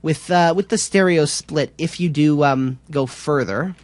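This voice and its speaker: A man presenting a software tutorial in a casual, informal way, explaining step by step a little hesitantly. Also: a little high, a little slow, no room sound.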